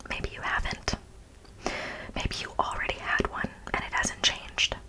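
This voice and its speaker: A young adult woman speaks in a breathy, unvoiced whisper, presenting ASMR in an informal, mock-serious way as the host. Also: poor audio.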